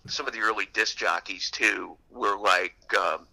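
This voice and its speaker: A man's voice: lowish voice, a little slow, gravelly, sharp tone, poor audio. A man being interviewed as an author, telling anecdotes in a conversational radio style.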